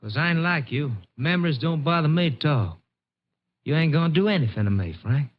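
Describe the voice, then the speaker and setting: Male actor as a greedy resentful farm brother, rural Texan drawl, menacing, higher-pitched, muffled old radio western. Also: animated, a little fast, lightly acted. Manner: needling, insolent drawl. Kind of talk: vintage radio western drama acting. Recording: muffled vintage radio recording.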